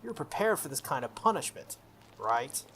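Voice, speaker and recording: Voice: mid-range voice, big pitch swings, normal speed, fairly bright tone, slight background noise. The player of a visual novel voicing an arrogant, bullying teenage schoolboy with a contemptuous, needling manner. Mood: sneering, bullying.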